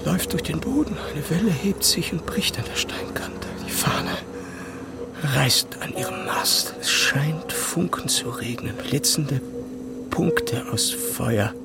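Desperate, obsessed physicist, swooping voice. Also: male voice, on the high side, big pitch swings, gravelly, in a whisper, heavily acted. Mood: feverish, awed. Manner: urgent, breathless, halting. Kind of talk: German radio play acting. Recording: loud music bed behind voice.

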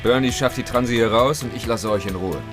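A man's voice: mid-range voice, brisk, over music, close to an everyday voice. A man acting a sleazy, callous client in his forties in a German radio drama, patronizing and coldly threatening. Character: sleazy, menacing forty-two-year-old male client, cynical and callous.

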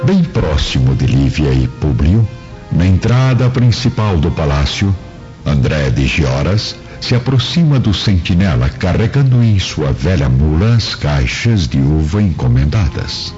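A man's voice: a bass voice, very animated, measured pace, darkish tone. A man narrating a radio drama in a dramatic, scripted storytelling style. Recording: muffled recording with music bed.

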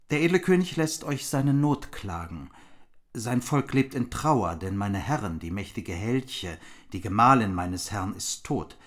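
An actor playing a noble, loyal knightly envoy in a radio drama, speaking formally and earnestly. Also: male voice, on the low side. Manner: formal, solemn.

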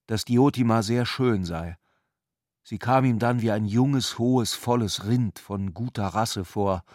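A man reads literary radio drama narration in a measured, composed way. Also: fairly low pitch, darkish tone, no echo, studio quality. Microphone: close, dry studio microphone.

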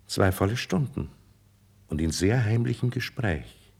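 An actor playing a grave, loyal older count, deliberate and deferential, in a formal 1950s radio drama style. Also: male voice, on the low side, expressive, vocal fry, darkish tone, husky, heavily acted. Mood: confiding, concerned. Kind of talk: classical German radio drama acting, 1950s.

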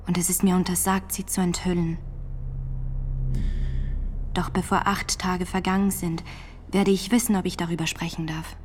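A woman's voice: lowish voice, a little hiss or hum, lightly acted. An actress in a radio drama playing a devout, defiant nineteen-year-old peasant woman on trial, steadfast and plain-spoken in manner.

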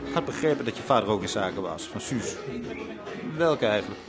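A man's voice: on the low side, animated, a little fast, over loud music, natural voice. An actor playing a prying, self-important middle-aged businessman in a radio drama, chatty and inquisitive. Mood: inquisitive, patronising.

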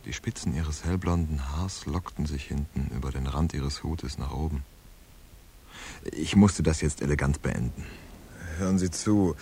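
An actor playing a laconic, world-weary hard-boiled man in a radio crime play, understated and deadpan. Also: very deep voice, a little hiss or hum. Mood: guarded, wry. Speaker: narrator and lead character in a radio crime play. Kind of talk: scripted hard-boiled first-person narration and dialogue.

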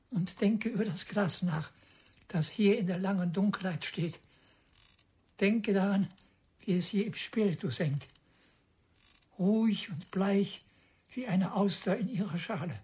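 An actress playing a curious, brooding backwoods villager who muses in awe in a radio drama. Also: female voice, medium pitch, a little mellow, slightly rough. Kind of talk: radio drama monologue and dialogue.

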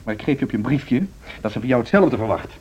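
A man's voice: medium pitch, animated, quick pace, a little mellow, husky. A man acting a blunt, grumbling, sardonic husband in a Dutch social radio drama. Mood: disapproving. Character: grumbling, sardonic husband opposed to wife's ambitions. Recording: dull, noisy radio drama recording.

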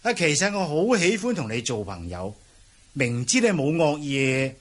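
A man's voice: a little high, very animated, normal speed. A man playing an earnest young nobleman hero in a Cantonese martial-arts radio drama, theatrical and exclamatory. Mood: friendly, eager.

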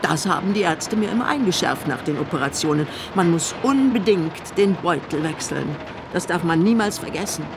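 A woman's voice: fairly low pitch, animated, normal speed, background noise. An actress playing a frail, eccentric woman in her eighties, imperious and needling, in a German radio play. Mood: complaining. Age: elderly. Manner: theatrical, scolding, pleading.

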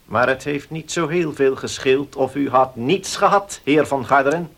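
Radio-play notary: formal, precise, measured, a mid-pitched man's voice. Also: creaky, fairly bright tone. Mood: businesslike. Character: formal, measured male village notary reading a will. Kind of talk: Dutch radio play acting.

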